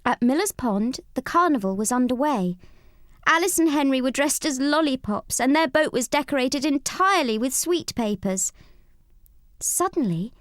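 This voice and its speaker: Animated high-voiced woman storyteller. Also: dry recording, clean studio sound. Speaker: storybook narrator. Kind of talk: expressive scripted children's read-along narration.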